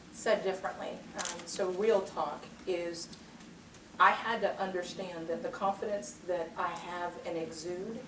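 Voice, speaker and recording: A middle-aged woman giving a motivational keynote and answering questions in a confident, emphatic, rhythmic way. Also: lowish voice. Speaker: keynote speaker. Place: large conference hall. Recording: roomy hall sound, distant mic, noisy.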